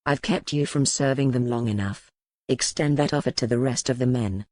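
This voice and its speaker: A man's voice: mid-range voice, normal speed, darkish tone, dry recording, close to an everyday voice. Man playing a grim medieval duke, dry sound. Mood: weary, resigned. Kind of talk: dramatized audiobook dialogue.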